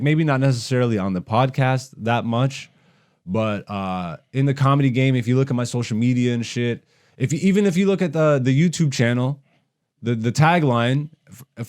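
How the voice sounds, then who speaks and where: A man's voice: medium pitch, fairly quick, darkish tone. A man from Canada, a stand-up comedian hosting a podcast, talks in a casual, blunt, slangy rant full of swearing. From raised in Canada.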